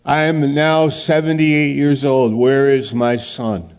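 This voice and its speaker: A man's voice: medium pitch, little pitch movement, slow, darkish tone, smooth, pitched above the performer's own voice. A man preaching voices an aged patriarch who pleads to know where his promised son is. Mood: anguished. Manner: pleading, questioning aloud.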